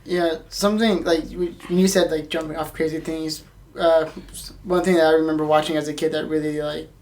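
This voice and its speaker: A woman's voice: low-pitched, fairly even intonation, brisk, a little mellow. A woman co-hosting a podcast, chatting casually in tentative asides that often trail off. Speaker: wrestling podcast co-host. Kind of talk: casual group chat.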